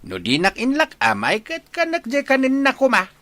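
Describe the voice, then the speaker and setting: A man's voice: very high voice, wildly swooping pitch, normal speed, sharp tone, no room sound, heavily acted. A man playing a nosy, jocular, scheming village father with an Ilocano accent in a radio comedy drama. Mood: jocular, curious.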